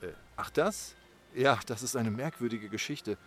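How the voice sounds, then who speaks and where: A man's voice: mid-range voice, normal speed, faint music behind, lightly acted. A man playing a chatty friend explaining himself in a Halloween audio play. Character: chatty male friend explaining his spooky surprise. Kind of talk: scripted Halloween audio play acting, conversational.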